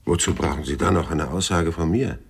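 An actor playing a cynical, world-weary male private detective in a radio crime drama, rough-voiced, dry and laconic. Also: low-pitched, a little fast, heavily acted. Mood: defiant, sardonic. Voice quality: husky, rough. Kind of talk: radio crime drama with first-person narration.

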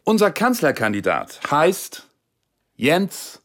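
Stiff solemn politician, super slow and halting, slightly high, up and down. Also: male voice, very animated, a bit bright, exaggerated voice. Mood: self-assured, solemn. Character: ponderous, self-assured male party leader and finance minister. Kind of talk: satirical radio play politician interview.

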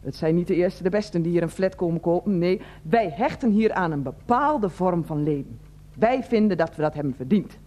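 An actress playing an older, self-righteous head of a residents' association in a radio play, wheezy, formal and repetitive. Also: female voice, fairly low pitch, animated, fairly quick, dark tone. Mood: indignant. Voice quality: wheezy, asthmatic breathing. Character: fussy, indignant older woman heading a residents' association. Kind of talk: Dutch radio play acting.

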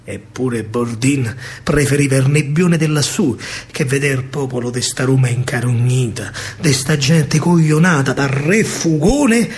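A man with a Roman accent performing a dramatic, declamatory verse monologue in colloquial speech, as a solo theatre storyteller. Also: mid-range voice.

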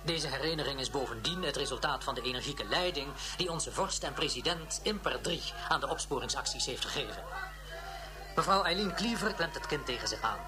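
A man's voice: medium pitch, animated, fairly quick, background noise, natural voice. An actor playing a ceremonious male news reporter giving formal, descriptive live commentary in a Dutch science fiction radio drama. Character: solemn male news reporter covering a state occasion.

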